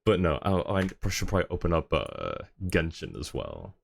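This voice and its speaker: Deep-voiced man chatting on a livestream. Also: sing-song, moderate pace, creaky, slight background noise. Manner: friendly, chatty, thanks and greets viewers by turns. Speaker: live streamer, host. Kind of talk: casual livestream chat with viewers.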